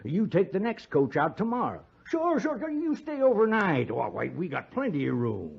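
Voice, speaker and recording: Raspy old frontier rascal. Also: male voice, high voice, huge pitch swings, moderate pace, exaggerated voice. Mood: wheedling, hearty. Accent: American. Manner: folksy rustic drawl, stammering, wheedling. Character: wily old frontier settler, henpecked whiskey-loving rascal. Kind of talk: 1950s radio Western acting. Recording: muffled 1950s radio recording.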